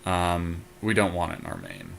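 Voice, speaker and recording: A man with a very low voice talks casually as a podcast co-host, thinking aloud as he works through a card game. There is some noise behind him in the recording. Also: big pitch swings, moderate pace, gravelly. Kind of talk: casual back-and-forth chat while building a card deck.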